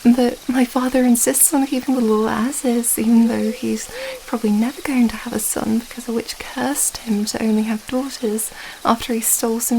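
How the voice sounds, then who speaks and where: A woman playing an earnest, affectionate, slightly flustered young princess in an intimate ASMR romance roleplay, speaking softly and hesitantly, rambling gently. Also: on the high side, slight background noise, natural voice, poor audio. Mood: mildly exasperated.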